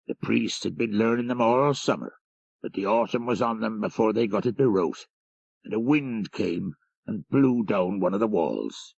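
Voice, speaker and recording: A man's voice: lowish voice, normal speed, vocal fry, a little mellow, dry recording, dull audio, voice pushed up. A man voicing a deferential, folksy Irish country driver telling local tales in an audiobook. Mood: deferential, chatty. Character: rural Irish jarvey driver, deferential, gossipy storyteller. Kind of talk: audiobook character reading.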